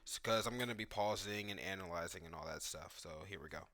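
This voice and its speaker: A man's voice: low voice, fairly quick, pushed and bright. A man giving casual, analytical commentary on video game footage.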